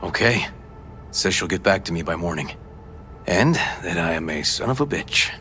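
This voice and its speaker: An actor playing a gruff, determined detective and protective uncle in a video game, sounding low and weary. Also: male voice, expressive, normal speed, pushed and bright, soft background music, full character voice. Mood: businesslike.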